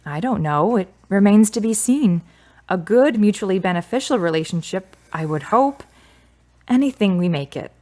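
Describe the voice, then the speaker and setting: An actress plays a self-assured woman in a radio drama, gently ending a romance. Her mid-pitched voice is articulate and wry, and she speaks in full, frank sentences. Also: lively intonation, normal speed, close to an everyday voice. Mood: reflective, measured.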